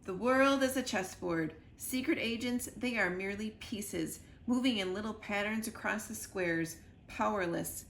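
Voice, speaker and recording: A woman playing a smug, measured KGB villain, echoey. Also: mid-range voice, natural voice. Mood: cool, condescending. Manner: measured, condescending. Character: smug, calm adult male KGB spy villain. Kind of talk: children's storybook read-aloud. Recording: roomy echo with clear background noise.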